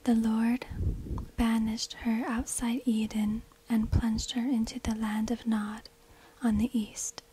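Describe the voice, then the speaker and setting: A woman tells a story in a very breathy, unvoiced whisper. Her delivery is slow and deliberate, with little movement in pitch. She is close to a binaural mic, in a hushed ASMR narrating style. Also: little pitch movement, low-quality recording. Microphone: close binaural microphone.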